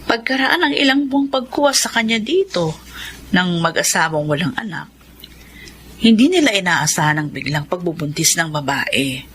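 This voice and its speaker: A woman playing a grave, concerned orphanage nun in a radio drama, solemn and deliberate. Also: on the low side, expressive, a bit bright, a little hiss or hum.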